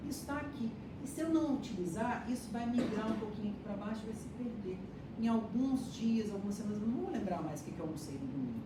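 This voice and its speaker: A woman's voice: mid-range voice, lively intonation, fast. A woman giving a classroom lecture, explaining step by step and checking that her students follow. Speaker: lecturer teaching hypnosis and neuroscience. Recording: echoey room, distant mic, audible noise.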